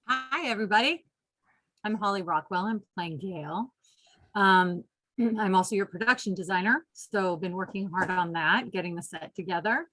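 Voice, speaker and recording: A woman chats casually as she introduces herself on a video call. Her mid-pitched voice is bright and forceful, with very little room sound around it. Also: normal speed, no echo, low-quality recording. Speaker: actress and production designer.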